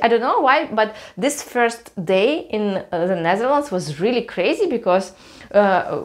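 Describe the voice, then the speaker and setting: A young woman from Russia with a Russian accent, telling a story to camera at home in a hesitant way, with many fillers. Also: a little high. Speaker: vlogger. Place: small cluttered room at home, indoors.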